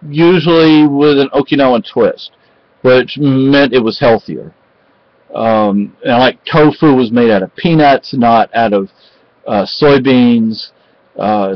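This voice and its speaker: A middle-aged man from Spain talks casually into a webcam in a cluttered room at home. His voice sits mid-range, and he rambles with pauses and restarts. The audio is dull and lo-fi. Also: mid-range voice. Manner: rambling, halting, many fillers and restarts. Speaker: vlogger. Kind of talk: casual home vlog chat. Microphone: webcam at close range.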